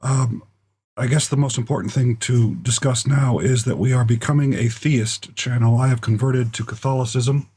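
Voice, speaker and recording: A man hosting a live stream, his voice worn hoarse and husky. He talks in halting starts, full of ums and restarts, close to the mic in a room that echoes. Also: mid-range voice, warm tone. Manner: halting, many fillers and restarts. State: losing his voice. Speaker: show host. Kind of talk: live political talk stream. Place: home room with echo. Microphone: close desk mic.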